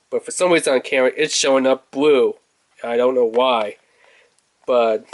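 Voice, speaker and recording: A man's voice: mid-range voice, no room sound. A man giving casual, off-the-cuff commentary as he reviews a toy in an unboxing video. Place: indoors at a table.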